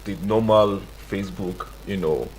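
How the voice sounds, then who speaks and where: A man's voice: low voice, measured pace, a little mellow, a little hiss or hum, low-quality recording. A man with a Nigerian accent speaking as a guest in a conversational radio interview, emphatic and given to repeating himself. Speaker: studio guest, tech entrepreneur and CEO.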